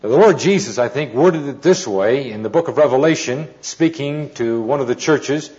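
A man giving an informal sermon with anecdotes, telling them deliberately with pauses and dry humour. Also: mid-range voice, darkish tone. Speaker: preacher, Bible teacher. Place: speaking live to an audience. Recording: dull, muffled lo-fi recording.

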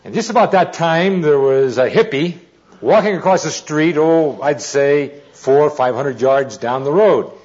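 Deliberate male preacher, muffled recording. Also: fairly high pitch, a little mellow. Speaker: preacher, Bible teacher. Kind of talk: informal sermon with anecdotes. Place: speaking live to an audience.

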